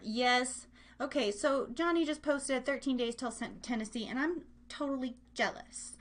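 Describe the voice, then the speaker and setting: High-voiced woman chatting as live host. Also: normal speed. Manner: playful, rambling, self-interrupting, addresses listeners directly. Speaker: live radio and podcast host. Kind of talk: casual live show chat with chat room.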